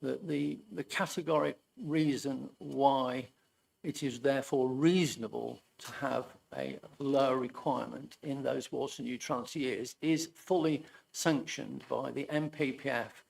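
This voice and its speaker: A man making a measured, deferential statement at a public hearing, addressing the chair as sir. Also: medium pitch, fairly even intonation, vocal fry, darkish tone, low-quality recording. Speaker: hearing participant.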